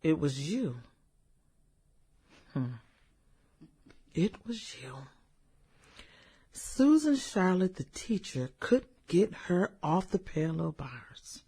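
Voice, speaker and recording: An actress playing a brooding, vengeful woman musing over old classmates in a radio drama, hesitant and husky. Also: lowish voice, big pitch swings, slow, long pauses, dark tone, exaggerated voice. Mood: cold, smug. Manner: musing, hesitant, chuckles and hums between thoughts. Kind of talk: radio drama acting, conversational monologue.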